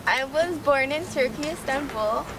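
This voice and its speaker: A woman's voice: high voice, unhurried, noisy background. A young woman, a student, chatting easily in a street interview. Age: young adult. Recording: distant mic over loud music.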